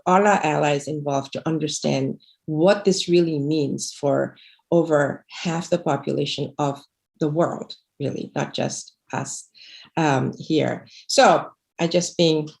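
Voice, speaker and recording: A woman's voice: deep voice, gravelly, a little mellow. A middle-aged woman from Bangladesh, an activist presenting slides on a video call, speaking earnestly with many fillers and restarts. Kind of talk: presenting slides in a livestream talk. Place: home room indoors. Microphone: video call webcam.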